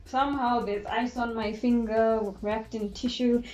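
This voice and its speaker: Young woman vlogger, stuffy nose, mellow voice, chatty storyteller, faint music under her. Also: on the high side, fairly even intonation, measured pace, rough recording. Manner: chatty, giggly, acts out quotes. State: congested, losing her voice. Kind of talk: casual storytelling vlog. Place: bedroom, indoors.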